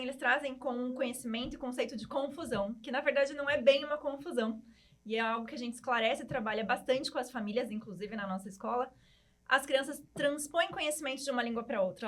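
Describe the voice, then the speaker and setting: Brazilian female teacher, slightly high voice, measured explainer for a podcast. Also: fairly bright tone. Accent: Brazilian Portuguese. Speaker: Portuguese teacher. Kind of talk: podcast conversation.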